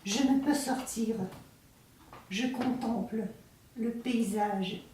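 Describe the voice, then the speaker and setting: A woman from Russia reciting her own poem in a deliberate, declamatory way, in short phrases with pauses. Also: a little high, warm tone. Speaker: poet reading own work. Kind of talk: poetry recitation. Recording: very echoey room, distant mic, faint noise.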